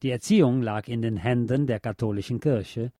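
A man narrates a scripted history programme in a measured, formal way. His voice is soft-edged and mellow, recorded very dry and close, with almost no room sound. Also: mid-range voice, no room sound. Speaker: radio narrator.

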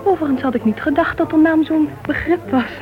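A woman's voice: high-pitched, fast, lightly acted. An actress playing a gossipy, sociable committee woman of a writers' club in a Dutch radio play, chatty and easily piqued. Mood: chatty, informative. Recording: tinny band-limited old radio recording, music behind.

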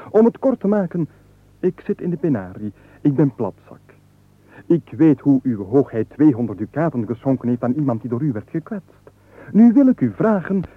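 An actor playing a penniless, wily squire and unstoppable babbler in a comic radio play, with flowery, wordy, mock-deferential speech. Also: male voice, fairly high pitch, no echo. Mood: ingratiating, pleading. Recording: muffled narrow-band 1980s radio recording.

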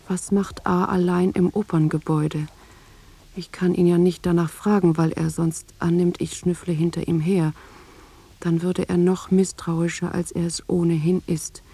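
A woman's voice: lowish voice, mellow, breathy edge. An actress playing a wary wife who informs in secret, reading her report in a restrained, matter-of-fact way as a radio drama monologue.

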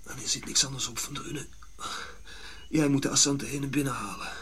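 An actor playing a brusque, scheming Dutch colonial general with a blunt, commanding manner in a radio drama. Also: male voice, mid-range voice, steady tone, a little fast, pushed and bright, a little hiss or hum, close to an everyday voice. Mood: scheming, pragmatic.

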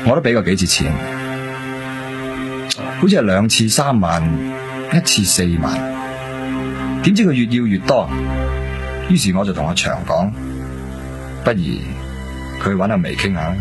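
A man's voice: low-pitched, sing-song, over music. An actor playing a coolly cooperative, evasive businessman under questioning in a radio drama, with a Hong Kong Cantonese accent. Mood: cool, self-justifying. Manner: cool, evasive, measured, peppered with English words. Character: guarded, slick businessman suspect, middle-aged, coolly cooperative.

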